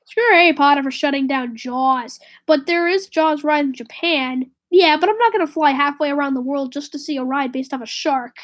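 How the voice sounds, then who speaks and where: A woman live streaming and chatting casually with viewers, slightly raspy with a stuffy nose from a cold, rambling and correcting herself. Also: high-pitched, moderate pace, hardly any pauses. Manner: rambling, self-correcting, drifts to a whisper.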